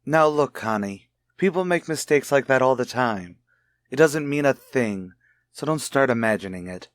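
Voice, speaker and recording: Man playing guarded american guy hiding something, old radio mystery, medium pitch, controlled and evasive. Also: lively intonation, moderate pace, dry recording, studio quality, close to an everyday voice. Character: guarded, secretive married man deflecting questions. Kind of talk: mid-century radio drama acting.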